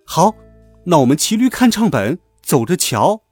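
A cheeky schoolboy hero acted by a man in a storybook, boastful and playful. His teasing lines swing widely in pitch, with some huskiness. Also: very animated, normal speed, breathy edge, no echo, soft background music. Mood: cocky. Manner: teasing, cocky, exaggerated. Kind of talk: children's audiobook character acting.

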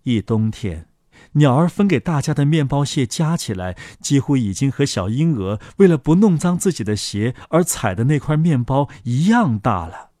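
A man narrating a fairy tale for children as the host, in an expressive scripted storytelling style. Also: medium pitch, normal speed, no echo, clean studio sound.

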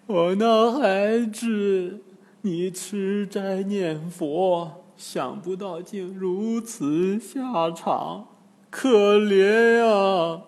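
A wailing old woman drags out every word in a high, trembling lament, as a male storyteller puts her on. Long reverb trails behind the voice. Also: darkish tone, exaggerated voice. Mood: grieving. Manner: slow, drawn-out lament.

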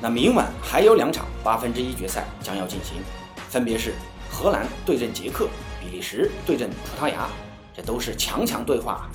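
A man's voice: mid-range voice, sing-song, moderate pace, echoey room. A man hosting a football show, delivering a scripted match recap in a commentary style. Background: music.

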